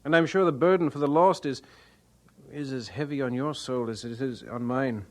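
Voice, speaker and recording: An actor playing an earnest, devout young missionary in an audio drama, speaking with halting fervor. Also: male voice, mid-range voice, close to an everyday voice. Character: earnest, devout young male missionary, self-deprecating and tender-hearted. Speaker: actor in the lead role.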